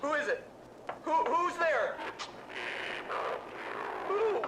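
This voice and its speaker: A woman's voice: high-pitched, sing-song, drawn-out words, a bit bright, a little hiss or hum, exaggerated voice, rough recording. A woman playing a terrified victim confronted by an intruder in a horror skit.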